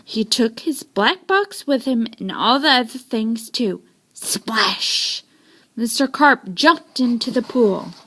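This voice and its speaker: Slow, high-voiced woman reading a children's story. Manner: expressive, dramatic storytelling. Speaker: storybook reader. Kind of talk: children's story read-aloud.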